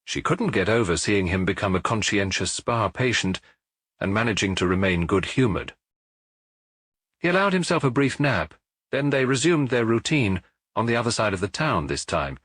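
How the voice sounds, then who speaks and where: A man reading a detective novel aloud in a measured, expressive storytelling manner on a close studio mic. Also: lowish voice, slightly rough, dry recording. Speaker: audiobook reader. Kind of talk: scripted narration of a detective novel.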